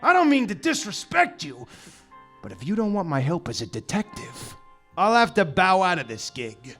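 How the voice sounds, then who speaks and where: An actor playing a laid-back Hawaiian detective's partner who keeps calling people brother and cuz, on a live theater stage. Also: male voice, high-pitched, very animated, normal speed, fairly bright tone, soft background music, exaggerated voice. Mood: earnest.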